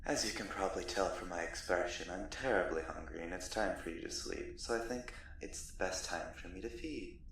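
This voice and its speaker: A genteel, gloomy vampire played by a man holds his captive in an ASMR roleplay, condescending and softly threatening. His low voice crackles with fry, set back from the mic in a very echoey space. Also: fairly quick, hardly any pauses, far from the mic. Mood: coaxing, condescending. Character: courtly male vampire captor, gloomy, politely menacing. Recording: roomy echo, off the mic, faint noise.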